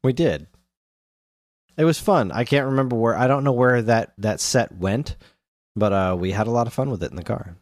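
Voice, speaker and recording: A man's voice: mid-range voice, normal speed, no room sound. An American man co-hosting a podcast, chatting casually and enthusiastically in informal slang. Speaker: podcast co-host. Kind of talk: casual chat.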